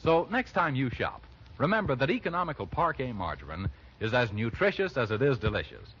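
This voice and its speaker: A man's voice: mid-range voice, big pitch swings, brisk, a bit bright, husky. A man announcing on 1940s radio with a polished, emphatic sales delivery. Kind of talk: 1940s radio ad read and scripted narration. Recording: muffled, hissy 1940s radio recording.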